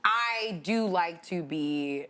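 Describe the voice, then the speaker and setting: Lower-pitched woman in casual chat. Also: expressive, extremely slow, bright, forceful tone. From Washington, D.C.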